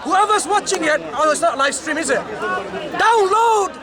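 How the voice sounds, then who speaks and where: A man's voice: very high voice, animated, a little slow. A man debating in the street, insistent and interrupting, pushing to be allowed to finish his point. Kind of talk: heated outdoor debate. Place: outdoors in a busy city street. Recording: loud street crowd around. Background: loud crowd.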